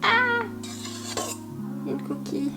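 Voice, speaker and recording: A woman's voice: high voice, expressive, drawn-out words. A woman in her home kitchen making a cooking vlog, with a playful, self-mocking way of talking. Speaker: cooking vlogger. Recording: echoey room with background music. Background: background music.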